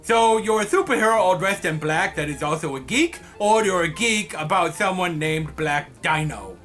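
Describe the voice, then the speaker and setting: A man voicing a rushed, blustering blue male character who sometimes talks like a caveman. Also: high voice, expressive, fairly bright tone, faint music behind. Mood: hurried.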